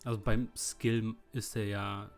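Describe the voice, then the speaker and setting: A man's voice: on the low side, flat delivery, a little slow. A man with a German accent giving casual let's play commentary, muttering and thinking aloud. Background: game music.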